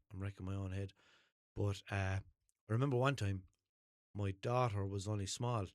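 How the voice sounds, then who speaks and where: A man's voice: medium pitch, no room sound, clean studio sound. A young man with a mild Limerick Irish accent hosting a podcast, rambling through an unscripted monologue, second-guessing himself and swearing casually. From Ireland. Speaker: podcast host. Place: small room at home, seated in a desk chair.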